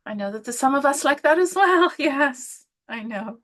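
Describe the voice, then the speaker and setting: A middle-aged woman with an Irish accent, hosting a live online webinar and encouraging participants with gentle, soft-spoken asides. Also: sits high, lively intonation, moderate pace. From Ireland. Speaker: webinar host and women's coach.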